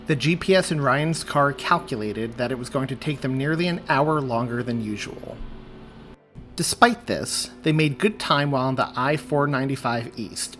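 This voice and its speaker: A man's voice: medium pitch, a bit bright. A man hosting a podcast, reading aloud a chapter of a story he wrote, with friendly, apologetic asides. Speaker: podcast host and author reading his story. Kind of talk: audiobook narration.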